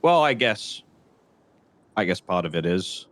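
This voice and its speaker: A low-pitched male crime boss played by a man in a roleplay game, once a trooper and now bitter and sure of himself. He talks in a deliberate, halting way, repeating words, with condescending menace. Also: very animated, a bit bright, full character voice. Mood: menacing, boastful. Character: menacing, bitter former state trooper turned confident criminal. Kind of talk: improvised crime roleplay phone conversation.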